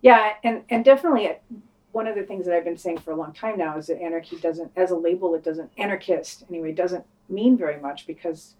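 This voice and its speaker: A woman with a fairly low voice talks in a casual podcast discussion. She is opinionated and informal, speaking as a panelist who swears freely. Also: fast talker, a little mellow, rough recording.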